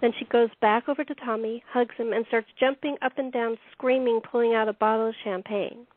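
Echoey, higher-voiced woman narrating a play. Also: fairly even intonation, brisk. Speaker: narrator and host of a radio play series. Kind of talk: scripted narration of stage directions. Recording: echoey room, tinny phone-like sound.

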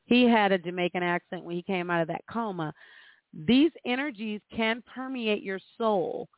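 A woman's voice: mid-range voice, moderate pace, no room sound. A woman's voice comes through narrow and phone-like, with very little room around it. She speaks as a spiritual commentator and host, earnest and exhorting. She repeats phrases for emphasis as she delivers guidance. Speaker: host of astrology forecasts. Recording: thin, narrow phone-like sound.

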